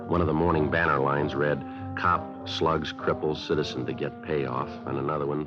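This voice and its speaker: An actor playing a terse police detective sergeant who narrates his case in clipped, matter-of-fact 1950s radio drama style. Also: rumbling low. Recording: muffled old radio broadcast with music.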